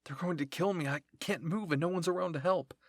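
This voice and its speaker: A man's voice: fairly high pitch, a little fast, no room sound, clean studio sound, voice pushed up. A man voicing a frightened, wounded young girl soldier in a dramatic fan fiction roleplay, pleading shakily and breathlessly. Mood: panicked.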